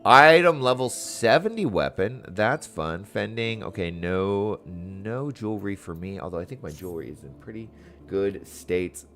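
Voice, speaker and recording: A man's voice: on the low side, expressive, measured pace, fairly bright tone, faint music behind. A man giving casual, playful commentary as he plays through a video game. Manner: playful, chatty, exclaiming. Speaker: gaming streamer. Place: dark room at home.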